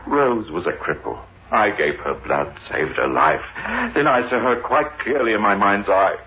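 A man's voice: on the low side, animated, normal speed, lightly acted. An actor playing a haunted, reluctant man cursed with visions of doom, anguished and hesitant in 1960s radio horror style. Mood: grim recollection. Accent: South African. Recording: dull, noisy old radio recording.

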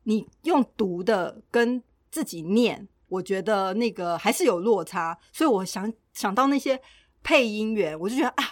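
A woman's voice: mid-range voice, no room sound. Taiwanese woman in dry, casual podcast chat. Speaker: podcast co-host.

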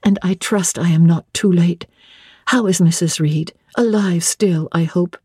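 A reader voicing a reserved young governess, polite, demure and quietly firm, in an audiobook. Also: female voice, fairly low pitch, unhurried, slightly rough, no room sound, studio quality. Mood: concerned. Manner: demure, restrained, matter-of-fact replies. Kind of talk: audiobook character dialogue.

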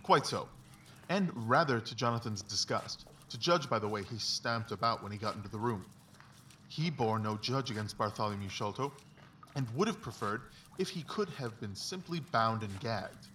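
A man playing a brilliant, confident, philosophical detective in a dramatized audiobook, explaining things in a measured, self-assured way. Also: lowish voice, animated, a bit bright, recorded from a distance, slight background noise. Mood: confident, analytical.

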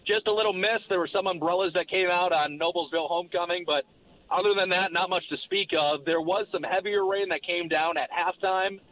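A man, slightly hoarse, giving a brisk recap of a football game as its broadcaster. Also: sits high, fairly even intonation, no room sound. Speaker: play-by-play broadcaster. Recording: phone line.

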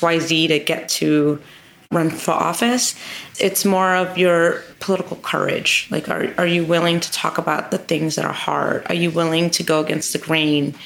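A woman's voice: fairly low pitch, gravelly, fairly bright tone. A woman legislator answering interview questions in an earnest, emphatic way, stacking up lists of repeated phrases. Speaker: state legislator as guest.

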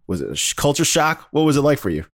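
A man's voice: on the high side, sing-song, quick pace, dry recording, clean studio sound. Upbeat male podcast host. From Washington D.C. area. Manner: upbeat, laughs often. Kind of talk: casual interview chat.

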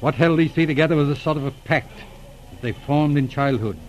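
An actor in a 1940s radio drama playing a shrewd, calm, middle-aged police inspector with a measured, probing manner. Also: male voice, a little high, a little hiss or hum, close to an everyday voice, poor audio. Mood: calmly probing. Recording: old radio drama recording.

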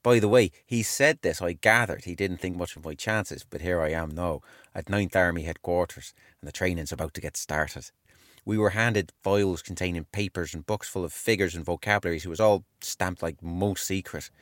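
An earnest young British army clerk sharing his wartime diary in a dramatised reading. The voice sits fairly low, with measured, expressive phrasing. The sound is dry and close. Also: no room sound. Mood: earnest, keen. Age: 20s.

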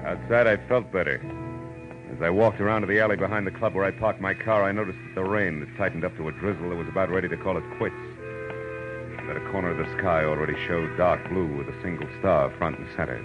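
An actor playing a tough, sardonic private eye in a 1940s radio noir, wry, clipped and world-weary. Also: male voice, low voice, fast talker, over music, natural voice. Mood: wry narrating. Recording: muffled vintage radio recording.

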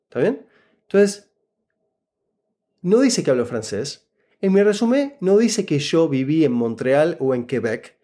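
A man with an Argentine Spanish accent and a fairly high, mellow voice. He speaks as an interview guest, telling a story in a very lively, swooping way. He explains things and keeps checking that the listener is following. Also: a little slow, long pauses. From Buenos Aires, Argentina. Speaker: interview guest giving job-interview advice. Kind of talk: casual conversational storytelling.

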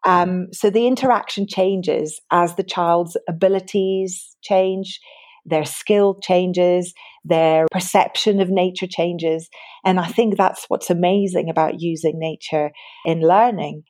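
A tired woman in her forties from Greece, talking through her work as an education researcher in a relaxed, thoughtful way. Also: fairly low pitch, measured pace. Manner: thoughtful, many fillers and restarts. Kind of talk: conversational interview.

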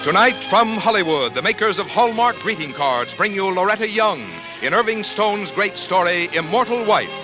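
A man's voice: high voice, lively intonation, normal speed, hardly any pauses, poor audio. An American man announcing and reading adverts on 1940s radio in a polished, emphatic scripted style. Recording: 1949 radio broadcast with faint music.

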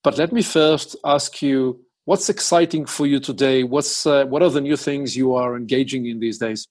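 A man hosting an interview, putting questions in a deliberate, conversational way, phrase by phrase. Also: mid-range voice. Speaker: interviewer, host.

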